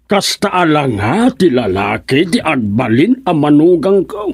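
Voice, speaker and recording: A stern, suspicious Ilocano father in a radio comedy-drama. The voice is husky and dragged out, swinging widely in pitch, recorded very dry. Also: big pitch swings, unhurried, a little mellow, dry recording, exaggerated voice. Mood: probing.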